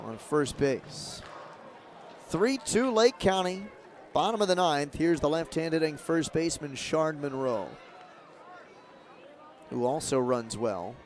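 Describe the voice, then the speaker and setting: A man doing live radio play-by-play of a baseball game, describing the action in short, clipped, measured phrases. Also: a little high, darkish tone. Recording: clear outdoor ballpark noise behind.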